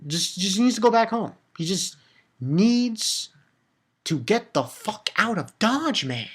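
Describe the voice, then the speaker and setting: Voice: mid-range voice, big pitch swings, slow, fairly bright tone, husky. Blunt, crude reviewer ranting casually. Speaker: manga review vlogger. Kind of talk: casual manga chapter review rant.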